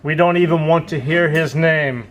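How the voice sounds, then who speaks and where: High-voiced male puppet show narrator. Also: moderate pace, dull audio. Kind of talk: storytelling narration.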